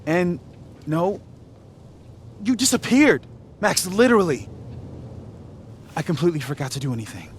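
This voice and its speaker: A man's voice: a little high, very animated, moderate pace, long pauses, a little hiss or hum. A man playing a grieving, skeptical young man in a video game drama, with a guarded, wry delivery that can turn emphatic. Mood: stunned, excited.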